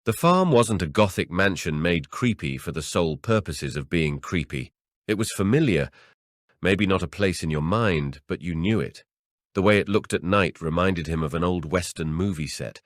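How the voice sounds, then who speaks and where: A man's voice: low-pitched, animated, normal speed, dry recording, studio quality. A man narrating a horror audiobook as a scripted story reading.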